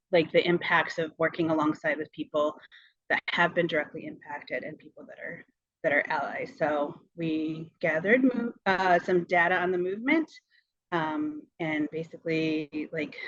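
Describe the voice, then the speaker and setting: A woman from Guatemala giving an informal presentation as a nonprofit co-director, speaking reflectively and often restating her phrases. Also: lowish voice. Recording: roomy, slightly dull sound.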